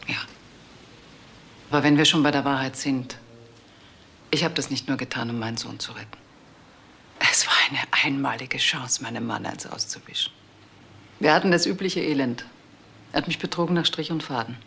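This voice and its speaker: An actress playing a bitter, betrayed middle-aged wife and mother who confesses haltingly in a crime radio play. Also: extremely low pitch, fairly bright tone, a little hiss or hum.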